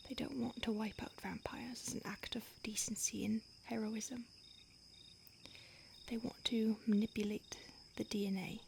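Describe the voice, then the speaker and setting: A woman voicing a starved, conflicted female vampire who stalks and craves her listener, speaking in a soft intimate whisper. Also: a little high, fairly even intonation, normal speed, vocal fry, a little hiss or hum. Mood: grim, confiding. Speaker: audio roleplay performer. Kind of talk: whispered audio roleplay.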